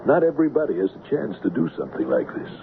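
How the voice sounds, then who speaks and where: An actor playing a middle-aged, driven police lieutenant with a firm, pointed manner in old-time radio drama. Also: male voice, medium pitch, huge pitch swings, very fast, creaky. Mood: earnest. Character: dogged veteran male police lieutenant, righteous, driven. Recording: tinny, band-limited, noisy old radio recording.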